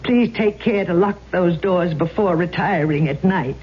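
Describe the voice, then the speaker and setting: A woman's voice: lowish voice, unhurried, close to an everyday voice. A woman playing a proper, suspicious old landlady in a radio drama, speaking in a formal, reproving manner. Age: older. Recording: dull, lightly noisy old radio recording.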